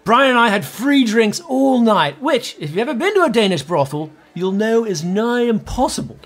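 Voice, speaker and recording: A man's voice: extremely high pitch, big pitch swings, moderate pace, full character voice. An actor playing a vain, needy, pompous washed-up male rock star in a comedy audio drama. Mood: boastful. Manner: pompous, self-important.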